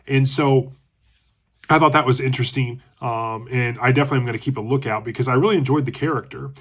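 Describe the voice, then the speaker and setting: A congested man hosting his own channel, giving a chatty, relaxed book review and thinking aloud as he goes. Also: medium pitch, fast talker, slightly rough. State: congested from allergies. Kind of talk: casual book review talk.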